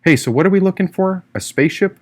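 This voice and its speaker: A man's voice: high-pitched, quick pace, a little mellow, pitched above the performer's own voice, natural voice. A man voicing a free-spirited, teasing young woman in an audiobook, with a breezy, irreverent manner. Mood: curious, playful.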